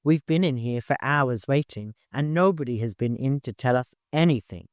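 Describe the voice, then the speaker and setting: A man's voice: medium pitch, moderate pace, clear, resonant tone, dry recording, studio quality, close to an everyday voice. A man voicing a thick-set, stubborn, balding male warrior in an audiobook, curt and challenging. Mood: impatient.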